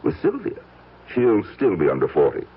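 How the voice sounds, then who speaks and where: An actor in a radio drama playing a smooth, cynical fortune hunter who talks with mocking, insolent self-possession. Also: male voice, low-pitched, normal speed, full character voice. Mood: insistent, persuasive. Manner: suave, mocking, calmly insolent. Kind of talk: 1970s radio drama acting. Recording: muffled, husky old radio broadcast.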